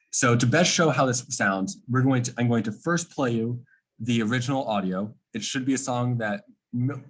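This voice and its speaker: A man with a slightly husky voice giving an informal technical explanation, like a student presenting a project, posing questions and then answering them. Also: medium pitch.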